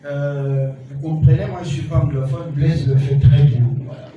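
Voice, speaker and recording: A man introducing speakers at an event, slow and formal. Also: a little high, steady tone, mellow, highs cut off, a little hiss or hum. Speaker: master of ceremonies. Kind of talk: event announcement. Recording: echoey hall, far from mic.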